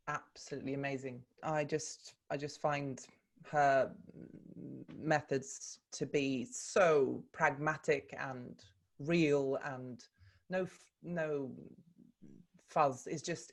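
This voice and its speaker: A woman's voice: deep voice, steady tone, slow pace. A woman from Sweden, a native Swedish speaker, talks in English as a guest in a video call interview. She speaks thoughtfully, with many ums and restarts. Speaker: actor and voice coach, interview guest. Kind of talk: relaxed conversational interview.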